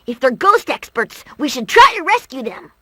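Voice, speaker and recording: A woman voicing a brash, excitable little boy hero in a cartoon video game, squeaky and childlike. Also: normal speed, no echo, studio quality, full character voice. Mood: curious.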